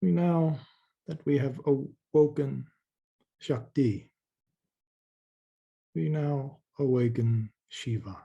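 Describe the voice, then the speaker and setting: A man leading a guided meditation in a soft, slow, calming way, with long pauses and repeated words. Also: medium pitch, gravelly, slightly rough, dull audio.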